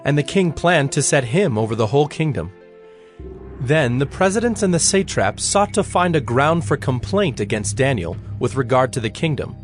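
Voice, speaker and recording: A man narrating a dramatized scripture reading in a scripted storytelling style. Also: a little high.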